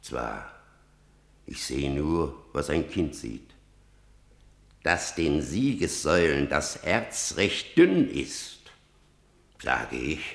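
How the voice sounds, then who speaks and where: A man's voice: deep voice, fairly bright tone, roomy sound, full character voice. An actor playing an old blind male seer, speaking in grave, cryptic verse. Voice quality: gravelly, solemn declamation. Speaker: actor in radio drama. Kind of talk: stage verse drama.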